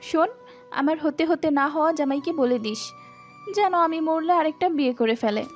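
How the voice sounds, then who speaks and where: A woman's voice: high voice, normal speed, muffled recording, faint music behind, lightly acted. A woman playing a melodramatic young student in an audio story, lamenting theatrically. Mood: mock doom. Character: melodramatic, doom-struck young female student friend.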